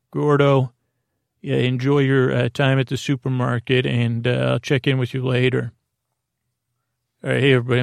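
A man hosting a bedtime podcast in a creaky voice, with a soothing, halting, meandering delivery that drifts into tangents. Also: mid-range voice, no echo, studio quality. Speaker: podcast host. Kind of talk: rambling bedtime podcast monologue.

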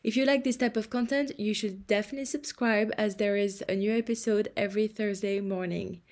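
A woman with a mid-range voice hosts a podcast. She reads her scripted intro smoothly and asks her guest polite, gently laughing questions. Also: medium pitch, steady tone, a little fast. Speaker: podcast host.

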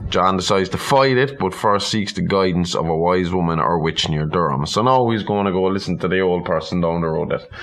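A man's voice: deep voice, brisk, hardly any pauses. A man co-hosting a podcast, reading a legend aloud and adding informal, irreverent, sweary commentary. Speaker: podcast co-host.

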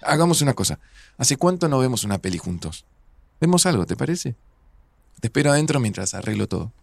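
A man's voice: medium pitch, very animated, brisk, vocal fry, darkish tone, slightly rough, no room sound, heavily acted. A man playing a melancholy, grieving man in a naturalistic audio drama, with an Argentine accent and a hesitant, introspective way of talking. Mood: guarded, weary. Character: melancholy, grieving, dramatic man, older than his friend.

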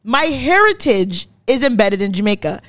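A woman's voice: mid-range voice, big pitch swings, normal speed, a bit bright. A woman in her twenties from Canada, hosting a podcast in casual, opinionated talk, emphatic and swearing freely as she repeats words for stress. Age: 20s. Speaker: podcast host. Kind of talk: casual chat, opinionated explaining.

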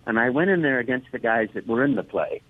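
A man's voice: medium pitch, fast talker, dry recording. Enthusiastic man on a thin phone line. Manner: warm, enthusiastic, repeats words when excited. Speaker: guest, voice actor. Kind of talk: storytelling interview talk.

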